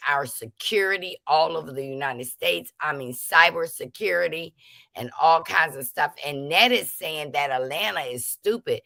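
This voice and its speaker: A woman host with a very low, gravelly voice that still cuts through with a bright, forceful tone. She delivers commentary emphatically and lectures a little, in a dry, close recording. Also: no echo. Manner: emphatic, preachy warnings. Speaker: show host. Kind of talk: casual commentary chat.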